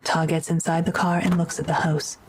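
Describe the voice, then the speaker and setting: A woman narrator with a low-pitched voice. She reads scripted audio drama narration, describing each action. There is faint noise in the recording. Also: flat delivery, fairly quick.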